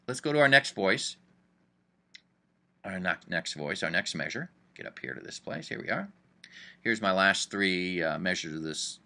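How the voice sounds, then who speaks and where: Male music teacher, bright tone, chatty and upbeat, casual explainer. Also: mid-range voice. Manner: chatty, upbeat, thinks aloud with fillers. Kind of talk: casual screen-recorded lesson.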